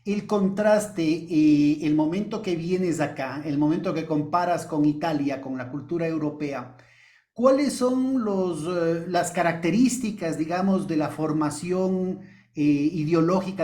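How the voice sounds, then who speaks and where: A man hosts an interview in a somewhat high-pitched voice. He greets his guest warmly and asks long, meandering questions. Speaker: host. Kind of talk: interview hosting.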